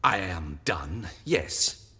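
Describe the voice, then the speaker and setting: A man's voice: low-pitched, animated, extremely slow, sharp tone, breathy edge, long reverb tail, heavily acted. An actor playing a fussy, haughty court tailor in a video game, prim and formal in his speech. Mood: huffy, disdainful. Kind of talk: video game dialogue acting.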